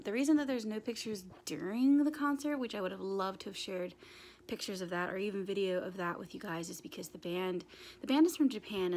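A young woman in her twenties with a raspy, hoarse voice, chatting casually to a handheld phone camera in a small bedroom, with lots of ums and restarts. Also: on the low side. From United States. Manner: rambling, many ums and restarts. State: hoarse from screaming at a concert. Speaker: vlogger. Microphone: phone camera held at arm's length.

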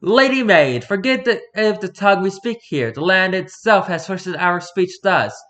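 A man's voice: sits high, lively intonation, normal speed, a bit bright, voice pushed up. A man doing mock-Shakespearean stage nobles. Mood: theatrical. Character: archaic-speaking nobles in a stage play.

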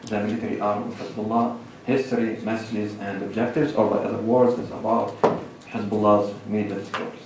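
A middle-aged man with a Lebanese accent delivers a measured conference talk at a lectern, setting out his points one by one. His voice sits a little low. It is picked up from across a large lecture hall, with heavy echo and faint room noise. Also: level tone. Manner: measured, lists points with pauses. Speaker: conference speaker. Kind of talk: academic presentation. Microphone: lectern microphone, far camera. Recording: echoey hall recorded from far off.

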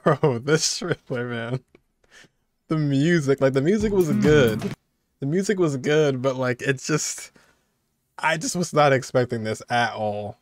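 A man's voice: mid-range voice, measured pace, dry recording, faint music behind. Young man, dry close casual commentary. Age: young adult. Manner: informal, amused. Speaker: reaction video host.

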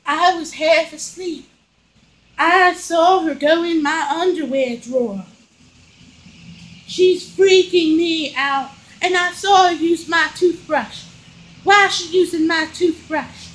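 A woman playing a bewildered little old lady who frets in plaintive, drawn-out questions in a comic skit. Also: very high-pitched, fairly bright tone, slight background noise, full character voice, low-quality recording. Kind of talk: comic household argument skit.

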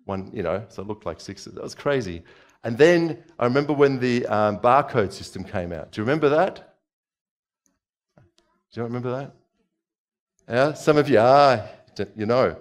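A man's voice: medium pitch, expressive, normal speed, long pauses. A man in his forties, born in Czechoslovakia, preaching a conversational sermon from a church stage on a headset mic, asking the audience questions as he moves about. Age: 40s.

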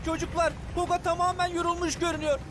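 A woman's voice: very high voice, flat delivery, fast talker, very noisy. A woman playing an anxious young hero in a dubbed cartoon. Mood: worried. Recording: loud music behind the voice.

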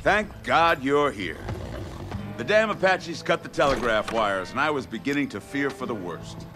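Western game mayor, male actor: American, folksy and grandiose, sly, very animated delivery over music. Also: medium pitch, normal speed, lightly acted. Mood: oily relief. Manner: theatrical, folksy, flowery phrasing. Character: smooth, sly frontier town mayor and lawman boss. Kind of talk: video game western acting. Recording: music behind the dialogue.

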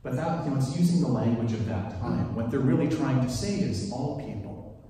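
A Swiss-born man in his forties whose first language is French, giving a relaxed, chatty sermon as worship leader into a handheld mic in a large church. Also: mid-range voice, moderate pace, slight background noise. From Switzerland. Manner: relaxed storytelling, chatty asides. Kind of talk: conversational sermon. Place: large wood-panelled church sanctuary. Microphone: handheld microphone, filmed from far. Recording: echoey church hall from a distance.

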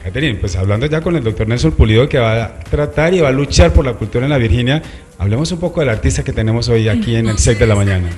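Man, Colombian accent, TV host, rapid pace, mid pitch, handheld mic. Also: animated, a little mellow. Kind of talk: conversational morning-show interviewing. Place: small TV studio set. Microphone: handheld microphone.

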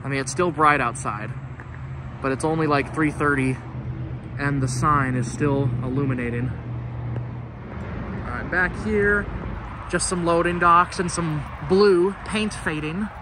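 A man's voice: a little high, a little slow, long pauses. A young man giving casual, rambling walk-through commentary as an urban explorer vlogger. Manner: chatty, rambling asides. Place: outdoors by an empty store building. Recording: outdoor noise on a handheld mic.

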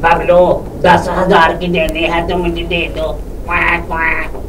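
A man's voice: fairly high pitch, completely flat delivery, slow. A man voicing a quacking duck puppet who haggles and teases in a comic children's show. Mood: haggling. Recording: distant miking, clear background noise.

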